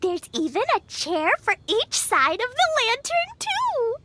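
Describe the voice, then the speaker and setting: Innocent, eager small girl for a game: childlike, slow-paced, very high. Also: huge pitch swings, sharp tone, no echo, soft background music, heavily acted. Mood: sweet wonder. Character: sweet, wide-eyed little girl, eager and innocent. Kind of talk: video game character acting.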